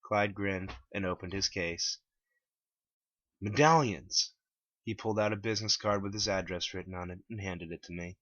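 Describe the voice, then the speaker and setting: A man's voice: low voice, little pitch movement, a little fast, hardly any pauses, bright, forceful tone, dry recording. A man reading his own first-person story aloud as a dramatic narration. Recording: dull lo-fi dry home recording.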